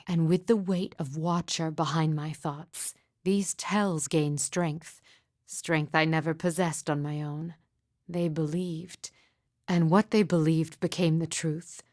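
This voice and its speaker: A woman playing a grieving, mystical midwife in a fantasy game, speaking in a solemn, formal, measured way with deliberate pauses. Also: deep voice, no echo, studio quality. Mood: grave, resolute. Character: grieving mother, solemn sorrowful mystic midwife with haunted calm. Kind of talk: scripted fantasy game dialogue.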